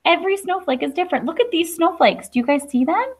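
A woman's voice: very high voice, very animated, quick pace. A woman around her thirties, a teacher reading aloud to young children, enunciating and adding chatty questions to her listeners. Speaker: primary school teacher. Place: indoor classroom.

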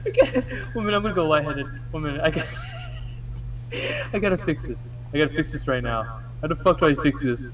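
A young man chatting casually and excitably, swearing freely, his voice run through a voice changer on a headset. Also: a little high, a little fast, long pauses, slight background noise, rough recording. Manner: excitable, giggly, blurting. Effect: voice changer with shifting presets. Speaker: video maker playing with a voice changer. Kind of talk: casual joking chat with swearing. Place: indoors at a computer.

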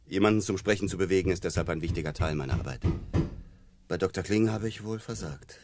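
An actor playing an earnest, hesitant psychologist in a naturalistic radio crime drama, speaking in a measured, explanatory way. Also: male voice, deep voice, background noise, close to an everyday voice. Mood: earnest, explaining.

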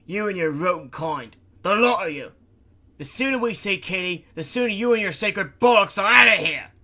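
A man voicing a hot-tempered, rude male engineer who snaps and yells. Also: high-pitched, animated, normal speed, voice pushed up, full character voice. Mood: furious. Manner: snapping, shouting.

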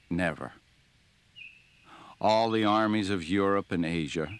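A man voicing a grave, resolute nineteenth-century American statesman in a documentary reading, solemn and measured. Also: sits low, a bit bright, a little hiss or hum. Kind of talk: dramatic reading of a historical speech in a documentary.

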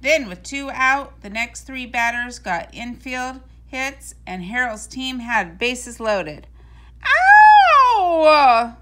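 Female storybook reader, bright and forceful, higher-pitched, expressive and deliberate. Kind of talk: scripted read-aloud of a children's storybook.

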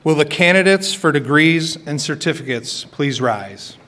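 A middle-aged man giving a formal, scripted ceremony address as a college administrator, measured in delivery, at a podium microphone on an auditorium stage. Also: fairly high pitch, animated, bright, forceful tone. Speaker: college administrator presiding at graduation.

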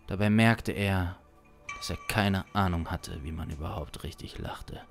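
A man in his thirties narrating an improvised suspense story in a deliberate, dramatic way, close to a studio microphone. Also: sits low, creaky, faint music behind. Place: small indoor streaming studio. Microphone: close studio microphone on boom arm.

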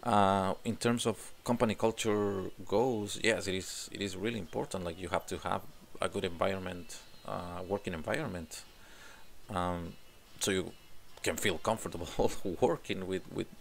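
A man in his forties from Chile speaks English with a Chilean Spanish accent, his voice low and gravelly. He answers questions at a desk mic in a home room, stopping to repeat words as he searches for them. A faint hiss sits under the recording. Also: steady tone, normal speed. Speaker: job applicant, English teacher. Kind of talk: self-recorded interview answers. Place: home room with guitars on the wall. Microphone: desk condenser mic in frame.

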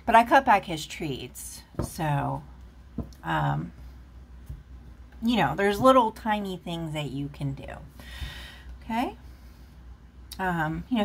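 A woman's voice: on the low side, lively intonation, slow, long pauses. A woman giving a casual, rambling tarot reading, chatting with lots of fillers while recorded off camera at a table indoors. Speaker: tarot reader.

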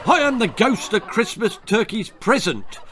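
A man's voice: high-pitched, sing-song, a little slow, background noise, pitched above the performer's own voice. A man voicing a bossy, menacing military turkey ghost in a storybook, barking and sneering his commands. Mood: barking, commanding. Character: bossy drill-sergeant turkey ghost, male, younger, menacing. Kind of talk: storybook character voice.

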